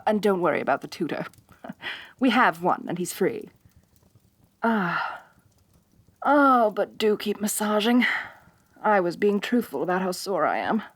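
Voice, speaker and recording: A woman's voice: mid-range voice, a little mellow, slightly rough, no room sound. A woman playing a haughty, domineering rich lamia mistress in an ASMR roleplay, talking down to her servant. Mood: pleased, composed. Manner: haughty, domineering, talks down to the listener. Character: haughty rich female lamia, bossy mistress, slightly tsundere.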